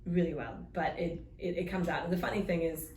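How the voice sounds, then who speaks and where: A woman's voice: on the low side, fairly even intonation, fairly quick, a little mellow, reverberant, a little hiss or hum. A woman in her thirties from Germany, with a German accent tinged with a New Zealand accent, telling her story in casual chat with many ums. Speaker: guest.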